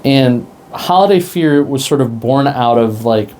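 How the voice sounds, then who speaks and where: A man explaining things casually as an interview guest, in a halting, rambling way. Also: medium pitch, lively intonation, darkish tone. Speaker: interview guest, podcast creator. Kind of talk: casual conversation.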